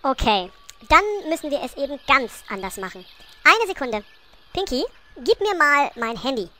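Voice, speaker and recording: A woman's voice: sits high, sing-song, normal speed, pushed and bright, exaggerated voice. A woman playing a bossy, scheming little gnome queen in a comic audio play, sassy and exasperated.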